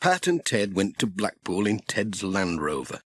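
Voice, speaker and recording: British male narrator for children's storybook: lively intonation, slightly husky, dry close recording. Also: lowish voice, measured pace, dry recording.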